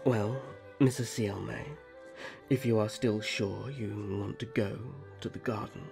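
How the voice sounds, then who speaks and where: Man voicing a low, slow-spoken gallant elf prince. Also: fairly even intonation, vocal fry, music in the background. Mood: polite, attentive. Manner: formal, gentlemanly, measured. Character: courteous, protective young male elf prince. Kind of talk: fantasy roleplay audio drama.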